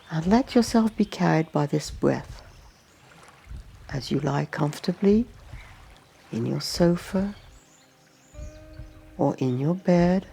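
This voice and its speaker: A woman leads a guided meditation in a very slow, soft-edged mellow voice. There is a noticeable creak in her tone, and she leaves space between short, gentle phrases. Faint music plays behind her. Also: sits low. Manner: gentle, unhurried instructions in short phrases with long pauses. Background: music and nature sounds.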